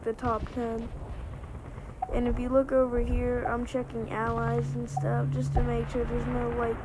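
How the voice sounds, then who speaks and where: A woman giving a rambling gameplay commentary voice-over, slightly hoarse from a winter cold and full of fillers and restarts. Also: a little high, very noisy. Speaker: gameplay video creator.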